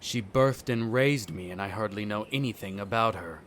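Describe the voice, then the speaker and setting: Low-voiced haughty vampire noble, acted by a man. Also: a bit bright, a little hiss or hum, lightly acted. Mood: musing, wry. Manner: formal, measured, condescending. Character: haughty, bitter male vampire noble, centuries old. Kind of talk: scripted roleplay audio drama monologue.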